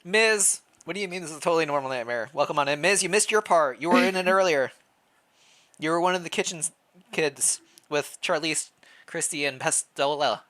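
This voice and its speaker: A streamer reading fan fiction aloud on a casual livestream, playful and reacting out loud, sometimes stumbling over the text. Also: medium pitch, a little fast.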